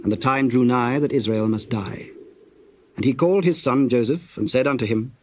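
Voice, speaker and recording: A man narrating scripture from a script in a measured, solemn storytelling manner. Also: mid-range voice, a little mellow.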